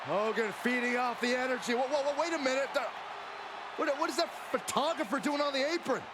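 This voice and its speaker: A man giving excited, partisan color commentary on wrestling. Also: very high-pitched, brisk. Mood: incredulous. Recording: noisy, loud arena crowd.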